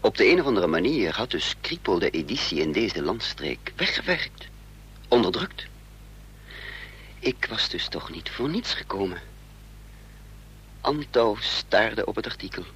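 Deep-voiced, troubled man. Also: very animated, a bit bright, slightly rough, heavily acted. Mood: grave. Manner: measured, dramatic delivery. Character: troubled man caught up in political intrigue, narrating gravely. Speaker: narrator and lead actor in a radio play. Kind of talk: dramatic radio play acting with first-person narration. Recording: faintly noisy, humming radio play recording.

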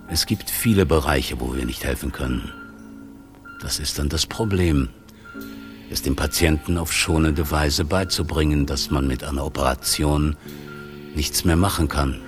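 An actor playing a frank, resigned hospital doctor in a radio drama, explaining soberly as if interviewed. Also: male voice, rumbling low, exaggerated voice.

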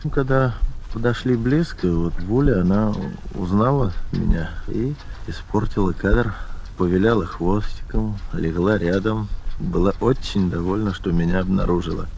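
A man with a very deep voice and plenty of creak explains his work as an animal trainer. He answers interview questions in a matter-of-fact, unscripted way. The recording is clearly noisy. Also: normal speed, a little mellow.

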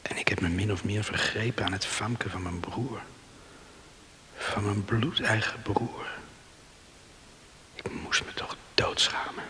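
A guilt-ridden island man talking to himself in a radio play, low slow voice, long echo. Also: fairly even intonation, sharp tone, whispered. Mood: ashamed, anguished. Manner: brooding monologue, sighing self-reproach. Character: remorseful, self-loathing island man brooding alone. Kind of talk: Dutch radio play soliloquy. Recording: hissy recording with long reverberation.

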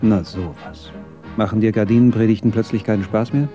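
An actor in a radio drama playing a sardonic failed film director, needling with sarcastic mock affection. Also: male voice, lowish voice, steady tone, fairly quick, dark tone, faint music behind, natural voice.

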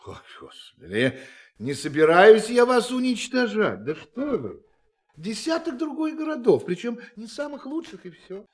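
An actor in a Russian audio drama playing a condescending, gleeful alien visitor with a theatrical, mock-polite manner. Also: male voice, high voice, big pitch swings, a little slow, a little mellow, exaggerated voice.

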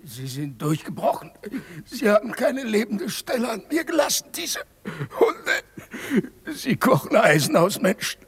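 Husky, high-pitched dying partisan. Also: male voice, big pitch swings, heavily acted. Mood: agonised, horrified. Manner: halting, repeating fragments. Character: mutilated dying partisan, feverish and horrified, gasping out testimony. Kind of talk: 1950s German radio drama acting.

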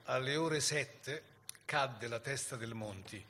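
A man's voice: medium pitch, slow pace, sharp tone, a little hiss or hum. A man delivering dramatic scripted historical narration as a stage narrator.

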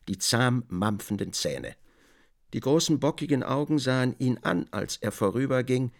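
An actor playing a literary storyteller, narrating a radio drama in a creaky voice. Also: male voice, mid-range voice. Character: literary storyteller, also voicing the protagonist's brief remarks.

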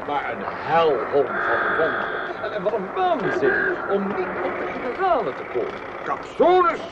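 An actor playing a vain, jovial opera singer, fatherly and affectionate, speaking theatrically in a radio play. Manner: booming endearments, chuckling, theatrical. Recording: muffled noisy radio play recording with outdoor ambience.

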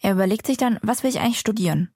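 A woman hosting and narrating a radio feature, explaining the story in a calm tone. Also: medium pitch, very fast, slightly rough, no room sound. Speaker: host and narrator. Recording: dry close sound with faint music.

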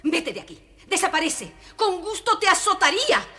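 A woman's voice: very high voice, sing-song, moderate pace, exaggerated voice. An actress playing a furious, sarcastic mistress scolding her servant in a children's fairy tale. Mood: furious, scornful. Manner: sharp, sarcastic. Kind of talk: children's audio story acting.

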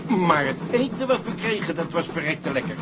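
An actor playing a cheery, plain-spoken sailor who is fond of food and drink, in a radio drama. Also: male voice, big pitch swings, normal speed. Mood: frank. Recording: loud train rumble behind.

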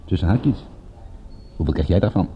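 A man's voice: deep voice, animated, normal speed. A man playing a wry private detective in a radio play, with a dry, laconic delivery, at times narrating his own case. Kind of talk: radio play acting with first-person narration. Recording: dull, lo-fi radio play recording.

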